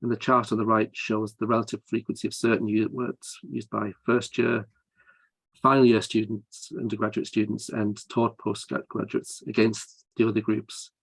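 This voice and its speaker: A man's voice: fairly low pitch, flat delivery, moderate pace, creaky, a bit bright, slightly rough, no room sound, low-quality recording. A man presenting research findings at a conference, explaining his methods step by step with frequent hesitations.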